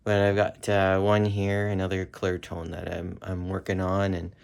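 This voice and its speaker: A man in his thirties talking casually and haltingly, thinking aloud as he presents a home repair vlog on a handheld phone. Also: sits low, completely flat delivery, creaky, darkish tone, clean tone. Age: 30s. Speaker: hobbyist repairer showing his work. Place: carpeted room at home. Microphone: handheld phone, at arm's length.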